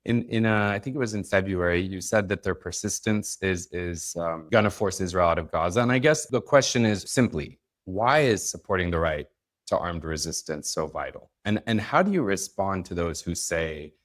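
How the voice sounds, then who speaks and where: Deep-voiced guy hosting a podcast, calm, kinda hesitant asking stuff. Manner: measured, hesitant with fillers when asking. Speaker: podcast host. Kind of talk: interview questions and commentary.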